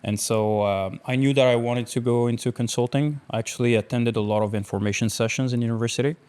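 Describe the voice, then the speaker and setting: A man's voice: mid-range voice, steady tone, fast, a little mellow, clear, resonant tone. A man in his late twenties from Algeria, talking casually as a guest in a podcast interview.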